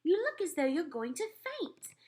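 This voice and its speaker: A woman's voice: very high voice, sing-song, a little slow, a little mellow, voice pushed up, exaggerated voice. A woman reading aloud and voicing a refined, upper-class queen who exclaims and gives orders. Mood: distressed. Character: refined elderly queen, composed yet shaken.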